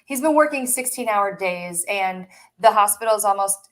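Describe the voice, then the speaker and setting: A woman's voice: mid-range voice, lively intonation, normal speed, a bit bright. A woman co-hosting a casual reseller chat, bubbly and gushing, laughing often. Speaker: co-host of a reseller chat show.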